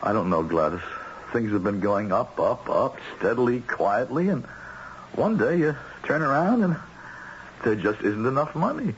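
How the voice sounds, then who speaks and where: A man's voice: medium pitch, expressive, moderate pace, lightly acted. A man playing a harried, money-worried husband in a radio drama, earnest and exasperated with his wife. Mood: weary, worried. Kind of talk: 1970s radio drama acting. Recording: dull old radio broadcast, faint hiss.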